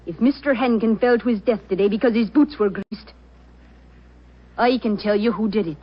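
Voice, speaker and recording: A woman's voice: medium pitch, normal speed, close to an everyday voice. An actress playing a superstitious, earnest Irish countrywoman who believes in fairies, with a folksy lilting way of storytelling in a 1940s radio drama. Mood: insistent. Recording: dull old 1940s radio recording.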